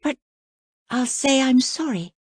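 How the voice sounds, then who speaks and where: A woman's voice: high voice, animated, slow, husky, no room sound, studio quality, pitched above the performer's own voice, full character voice. A woman piping up as a prim little princess. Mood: scolding. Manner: earnest, proper, scolding. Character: prim, polite young princess girl.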